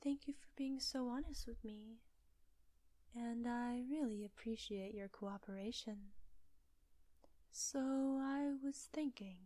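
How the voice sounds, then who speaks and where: A seductive witch, acted by a woman in an intimate audio drama, pitched fairly high yet mellow. She is breathy and unhurried, teasing as she gives commands. The sound is close and very dry. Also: no echo, close to an everyday voice. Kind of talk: roleplay audio drama, intimate ASMR-like delivery.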